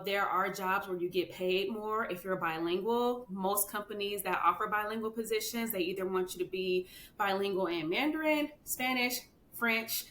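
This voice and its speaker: Woman, podcast guest, chatty, filler-heavy, bright tone, echoey room with faint rumble. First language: English. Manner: chatty, many fillers and "you know what I mean". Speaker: podcast guest, children's book author. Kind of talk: casual interview chat.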